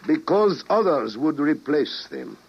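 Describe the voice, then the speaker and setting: An actor playing a grave, persuasive official in a mid-century radio drama, speaking in an emphatic, oratorical manner. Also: male voice, a little high, huge pitch swings, measured pace, breathy edge, heavily acted. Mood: impassioned, grave. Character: grave, persuasive male official arguing for a terrible mission. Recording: muffled old radio broadcast recording.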